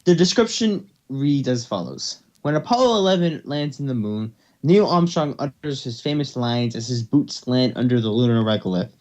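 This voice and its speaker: A man's voice: mid-range voice, expressive, normal speed, hardly any pauses, a little mellow, dull audio. A man hosting and narrating an amateur story reading, slipping between scripted narration and casual banter. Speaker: narrator and host.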